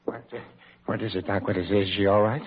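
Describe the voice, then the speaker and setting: An actor playing a stubborn, evasive guest-house owner in an old-time radio drama, stammering and repeating himself in protest. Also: male voice, lowish voice, fairly even intonation, fast talker, noisy background, close to an everyday voice. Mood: worried. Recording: dull lo-fi old radio recording.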